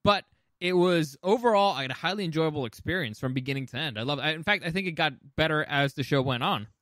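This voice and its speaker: Higher-voiced man in casual, opinionated chat. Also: fast, a bit bright, no room sound, studio quality. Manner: opinionated, profane. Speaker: podcast co-host. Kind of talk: casual chat about film and game adaptations.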